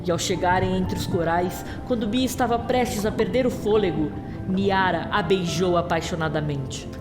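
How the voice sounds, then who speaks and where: A woman's voice: on the low side, normal speed. A woman narrating a scripted story as part of an audio drama. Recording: long reverberant hall-like echo. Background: clear music bed.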